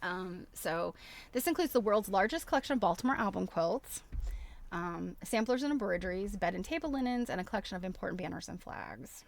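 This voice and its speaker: A woman's voice: mid-range voice, lively intonation, a little fast, vocal fry. A woman hosting a casual livestream, chatting enthusiastically and thinking aloud as she walks through websites. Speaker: host of a craft livestream.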